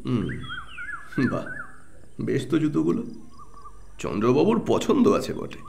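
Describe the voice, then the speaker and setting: A man playing a calm, shrewd and confident detective in an audio drama, speaking in a composed, deliberate way. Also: on the high side, gravelly, a little hiss or hum, full character voice. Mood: probing, composed.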